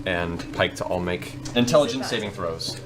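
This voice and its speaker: A man narrating a tabletop game as game master, in a theatrical, descriptive way with dramatic pauses. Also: low-pitched. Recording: music behind. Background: background music.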